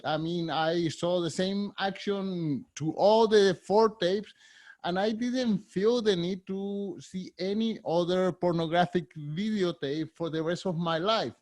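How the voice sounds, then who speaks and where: A man in his fifties with a Spanish accent, hosting an interview and asking curious, candid questions with a slightly halting delivery. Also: sits high, darkish tone. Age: 50s. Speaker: podcast host.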